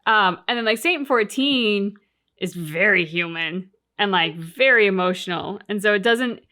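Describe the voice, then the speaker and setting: Bright-voiced enthusiastic woman chatting casually. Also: mid-range voice, a little slow. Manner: enthusiastic, informal, peppered with "like". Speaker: reaction channel co-host. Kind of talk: casual reaction chat about a video game.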